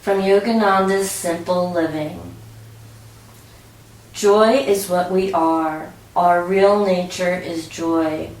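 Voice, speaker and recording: A woman reads a guided meditation at a very slow, deliberate pace. Her voice sits on the low side. She sounds set back from the microphone in a roomy, echoing space. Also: fairly even intonation, darkish tone. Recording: roomy echo, distant from mic.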